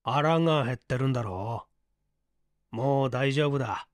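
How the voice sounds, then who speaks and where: A man voicing a composed, quick-witted young son in an audiobook story, chatty and breezy. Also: mid-range voice, no room sound, studio quality, lightly acted. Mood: reassuring.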